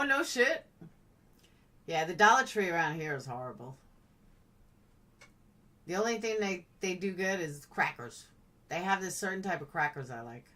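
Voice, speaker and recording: Woman streamer just chatting, kinda low voice, blunt n playful, sounds a bit away from the mic. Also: bright, forceful tone, recorded from a distance. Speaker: live-stream host. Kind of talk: casual live chat, reacting to comments.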